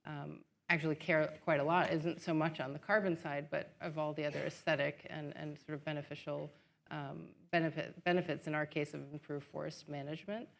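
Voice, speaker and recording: A woman on a conference panel weighs her points carefully and pads them with fillers. Her voice is very low, with a gravelly fry. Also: level tone. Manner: measured, hedging with fillers. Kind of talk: panel discussion remarks.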